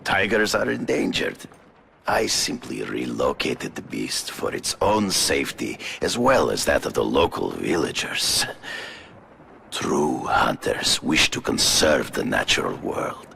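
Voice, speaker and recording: An actor playing an imposing, theatrical big-game hunter in a video game, speaking formally and slowly in a gravelly Russian accent. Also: male voice, big pitch swings, bright, forceful tone, a little hiss or hum, heavily acted. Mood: proud, theatrical. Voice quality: very deep, gravelly. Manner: theatrical, slow, formal diction without contractions. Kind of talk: video game cutscene acting.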